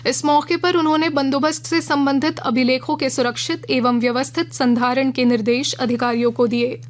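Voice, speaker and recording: A woman reading a regional radio news bulletin in a measured, formal way from a studio. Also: sits high, fairly even intonation. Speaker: news reader.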